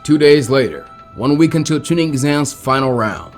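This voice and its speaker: A man's voice: medium pitch, lively intonation, normal speed, faint music behind. A man reading a scripted story aloud as a fan fiction narrator.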